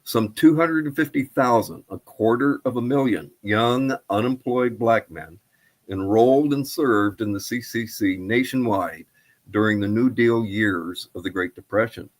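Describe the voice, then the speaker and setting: A man's voice: medium pitch, measured pace. A man in his seventies speaking as a guest historian and presenter. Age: 70s. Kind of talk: prepared presentation and interview answers.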